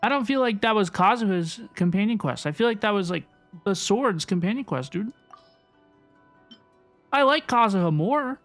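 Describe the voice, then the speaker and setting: A woman's voice: medium pitch, animated, moderate pace, long pauses. A woman giving casual, chatty playthrough commentary as a livestreamer, often repeating phrases for emphasis. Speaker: video game livestreamer. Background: soft game music.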